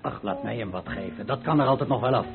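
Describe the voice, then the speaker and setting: A man playing an impulsive, warm-hearted friend of a painter in a Dutch radio play, colloquial and insistent. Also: mid-range voice, fast, close to an everyday voice. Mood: admiring, curious. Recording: muffled old radio recording, faint music.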